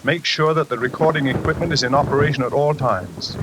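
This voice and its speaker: A crackly old radio drama recording of an actor as a male psychiatrist. He has a medium-pitched voice and speaks with formal, deliberate composure and quiet authority. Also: natural voice. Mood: businesslike, guarded. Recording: noisy, crackly vintage radio broadcast.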